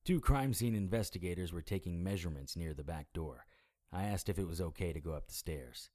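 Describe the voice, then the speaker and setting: An actor as a deep-voiced, world-weary investigator. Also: male voice, fairly quick, dark tone, close to an everyday voice. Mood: tense, matter-of-fact. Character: wry world-weary immortal male investigator who looks about forty. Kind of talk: audio drama dialogue and first-person noir narration.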